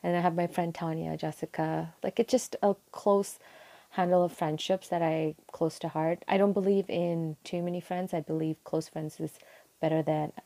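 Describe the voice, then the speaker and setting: Low, gravelly, mellow woman. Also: fairly even intonation, normal speed. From Sri Lanka. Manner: soft-spoken, frequent ums, meandering. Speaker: interview guest. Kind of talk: casual personal storytelling.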